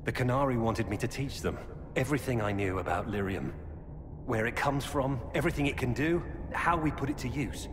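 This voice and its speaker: An actor playing a grim former Templar turned Qunari informant in a video game, speaking in a grave, measured, earnest way. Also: male voice, mid-range voice, expressive, lightly acted. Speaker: game character. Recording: game audio with long reverb and ambient noise.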